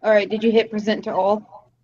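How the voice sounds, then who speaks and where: A woman's voice: medium pitch, flat delivery, fairly quick, warm tone, muffled recording. A woman co-hosting a casual livestream, chatty and amused as she reacts to the videos. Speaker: podcast co-host.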